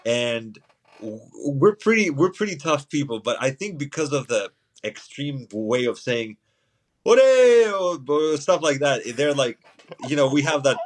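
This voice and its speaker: A man's voice: medium pitch, very animated, unhurried, slight background noise. A man from Albania, a guest chatting casually on a podcast, easygoing and laughing now and then. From Albania, Lushnjë. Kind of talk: casual chat.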